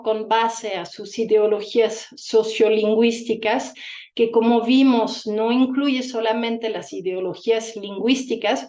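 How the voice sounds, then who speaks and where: A woman's voice: a little high, a little mellow. Woman researcher, fluent lecture delivery, echoey and muffled. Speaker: academic presenter. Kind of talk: conference presentation.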